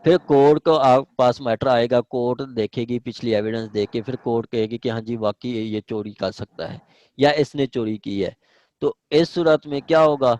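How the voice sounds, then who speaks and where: A man's voice: medium pitch, steady tone, normal speed, darkish tone, no room sound. A man with a Pakistani accent lecturing informally as a law teacher, repeating points through examples and often checking that listeners follow. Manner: repetitive, explains by examples with frequent check-in tags.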